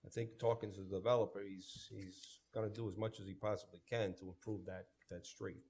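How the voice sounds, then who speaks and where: A man chairing a town planning board meeting, speaking in a measured, plain-spoken way as public works director. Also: fairly low pitch, level tone, dark tone, slightly rough.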